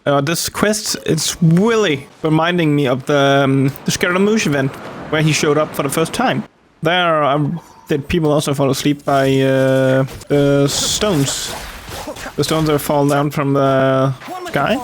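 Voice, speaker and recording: Female game streamer, low-voiced, casual, halting think-aloud commentary, slight background noise. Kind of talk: casual play-along commentary.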